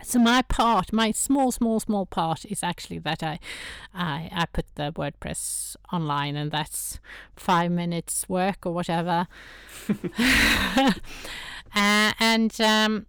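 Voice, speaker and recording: A woman's voice: on the low side, takes it slowly, fairly bright tone, smooth, dry recording, studio quality. A woman from Sweden with a Swedish accent, a guest in a casual interview who talks with hesitant restarts and frequent laughter. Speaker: guest, toy photographer.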